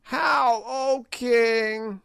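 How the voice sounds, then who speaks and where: A man's voice: extremely slow, creaky, fairly bright tone, clean tone, dry recording, clean studio sound, full character voice. A man voicing an ancient, evil witch woman who shrieks and cackles in old-fashioned speech. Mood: defiant. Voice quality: shrill, cackling.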